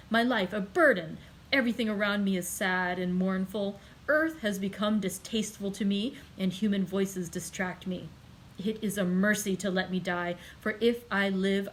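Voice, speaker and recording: A woman voicing a grief-stricken, angry young man, reading him with loud, impassioned, accusing outbursts in an audiobook. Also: mid-range voice, slight background noise, lightly acted, low-quality recording.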